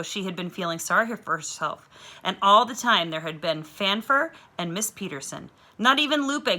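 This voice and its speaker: A woman reading a children's novel aloud with clear, expressive storytelling. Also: fairly low pitch.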